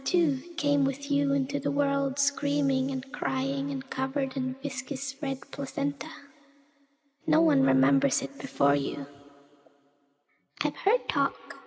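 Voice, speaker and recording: A woman's voice: high-pitched, gravelly, darkish tone. A woman playing a weary, cryptic otherworldly small creature in a poetic radio play, speaking in solemn riddles. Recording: long cavernous reverb.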